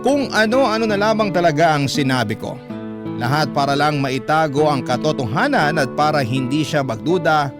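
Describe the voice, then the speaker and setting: Earnest male storyteller over prominent guitar music. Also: medium pitch. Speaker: radio host and story narrator. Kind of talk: dramatic first-person reading of a listener's confessional story. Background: music bed with plucked guitar.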